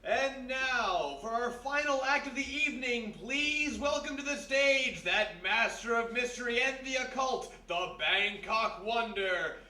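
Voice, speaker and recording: A man playing a showy nightclub master of ceremonies, announcing in drawn-out old-time radio style. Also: very high voice, animated, sharp tone, heavily acted. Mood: showy, hearty. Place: live performance in a roomy historic house. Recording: echoey live stage, distant mic, hiss.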